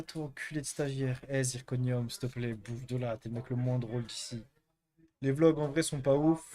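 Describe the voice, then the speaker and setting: A man's voice: medium pitch, warm tone. Young man bantering crudely on stream. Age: young adult. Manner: crude, teasing, joking. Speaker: live streamer. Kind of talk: casual live stream banter, answering chat.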